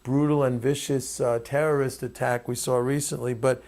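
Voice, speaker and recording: A man's voice: medium pitch, level tone, moderate pace, darkish tone. A man hosting his own commentary, speaking off the cuff in an emphatic, opinionated way. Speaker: commentator and host.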